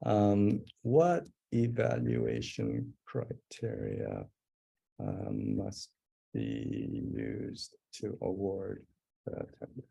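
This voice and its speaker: Man presenting a software demo: slow, gravelly, mellow tone, thinks aloud. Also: rumbling low, big pitch swings, rough recording. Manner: thinking aloud while typing, many fillers and restarts. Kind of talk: live webinar demo.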